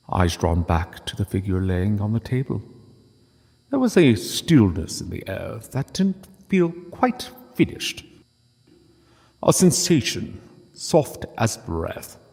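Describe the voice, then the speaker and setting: A man reading a literary story as narrator, solemn and deliberate, with dramatic pauses. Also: lowish voice, gravelly, darkish tone, husky, big-room sound.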